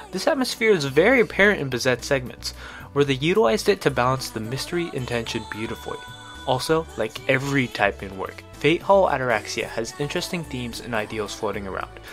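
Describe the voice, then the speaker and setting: A man delivers a scripted video review in a medium-pitched voice. He narrates as a reviewer presenting his written piece. Faint music plays behind him. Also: fairly bright tone. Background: music under the voice.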